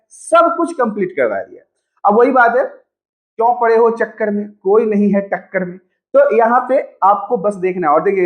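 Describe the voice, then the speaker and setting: A man's voice: high voice, dull audio. A man teaching an informal exam-prep lesson online, chatty and encouraging, repeating phrases for emphasis.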